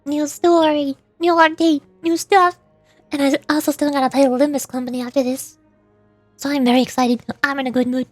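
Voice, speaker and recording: A woman's voice: high-pitched, flat delivery, a little fast, dry recording, soft background music. A woman livestreamer chatting casually over a game in a bubbly, rambling, giggly way. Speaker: livestreamer, VTuber.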